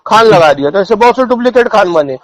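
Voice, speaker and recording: High-pitched, scornful cleric. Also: male voice, lively intonation, fast, dry recording, rough recording. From India. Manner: scornful, indignant, insulting, with rhetorical questions. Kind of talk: polemical religious talk, off the cuff.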